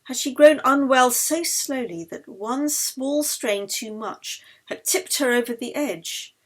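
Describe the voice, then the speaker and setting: A woman narrating a novel as its author, in an expressive, dramatic storytelling style. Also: high-pitched, takes it slowly, slightly rough. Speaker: author reading her own novel.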